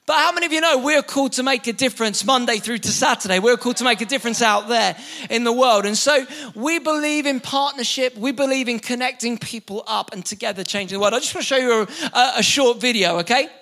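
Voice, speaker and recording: A man's voice: extremely high pitch, quick pace. A young man preaching a live sermon, upbeat and joking, with frequent restarts. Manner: energetic, joking, many restarts. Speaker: pastor. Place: church hall with live congregation.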